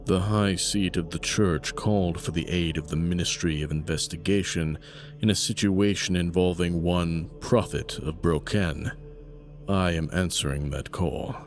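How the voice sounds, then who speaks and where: Old inspector in a fantasy audio drama, really deep voice, formal and businesslike, faint music behind. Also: male voice, steady tone, measured pace. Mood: formal, stern. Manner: formal, official. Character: aging male detective inspector, formal and businesslike.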